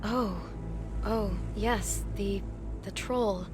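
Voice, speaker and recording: An actress playing an earnest, slightly embarrassed motel desk clerk in a video game, speaking in a hesitant, confiding way. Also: female voice, medium pitch, fairly even intonation, drawn-out words, music in the background. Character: embarrassed, earnest married woman working a motel front desk. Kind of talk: naturalistic video game acting.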